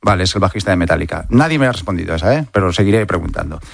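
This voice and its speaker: Fast, animated Spanish male interviewer. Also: low-pitched, gravelly, dry recording, clean studio sound. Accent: Spanish, from Spain. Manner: informal, playful questioning. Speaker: radio interviewer. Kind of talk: quick-fire quiz interview.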